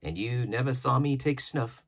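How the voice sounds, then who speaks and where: A man voicing a wry, kindly ship's doctor in a storybook, with measured, genteel, conversational delivery. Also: mid-range voice, lightly acted. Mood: wry, confiding. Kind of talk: storybook character reading.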